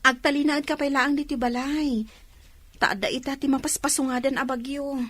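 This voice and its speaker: A woman's voice: high-pitched, fairly even intonation, moderate pace, a bit bright, no room sound. A woman playing a busy, caring village fish vendor and mother in an Ilocano radio drama. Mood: concerned.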